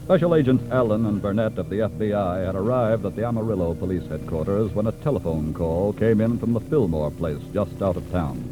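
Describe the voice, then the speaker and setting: An actor narrating a 1940s radio crime drama in a grave, measured, authoritative way. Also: male voice, lowish voice, animated, natural voice, low-quality recording. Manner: solemn, measured. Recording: vintage radio broadcast, music underneath.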